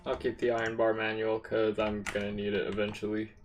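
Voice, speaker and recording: A man's voice: fairly low pitch, completely flat delivery, a little fast. Casual male game streamer with music behind. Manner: muttering to himself, casual swearing. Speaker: streamer playing an online RPG. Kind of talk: casual gameplay commentary, reading game dialogue aloud.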